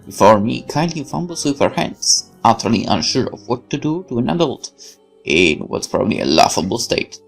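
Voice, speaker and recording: A man reads a horror visual novel aloud with theatrical intensity. His mid-pitched voice moves up and down a lot, over quiet background music. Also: sing-song, normal speed, creaky, breathy edge. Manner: theatrical, intense, occasional stumbles over words. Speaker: gaming channel host reading a visual novel aloud. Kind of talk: dramatic scripted horror narration.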